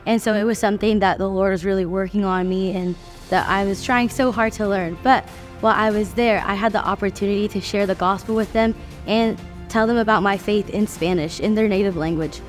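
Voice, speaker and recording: A young woman in her twenties speaks earnestly about what moved her, in a warm middle pitch. Soft music plays underneath her words. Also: fairly even intonation, a little fast. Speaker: student interviewee. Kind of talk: testimony in a tribute video.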